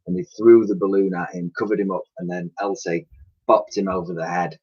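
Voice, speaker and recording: A man reading a children's storybook aloud in an expressive, dramatic way, with the odd stumble. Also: low-pitched, fairly quick, husky. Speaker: primary school teacher reading aloud. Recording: dull lo-fi home recording.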